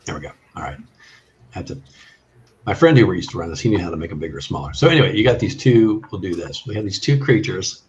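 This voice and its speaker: A man narrating a tabletop game as game master in a halting way, thinking aloud, on an online call. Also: low voice, animated, darkish tone, dull audio, a little hiss or hum.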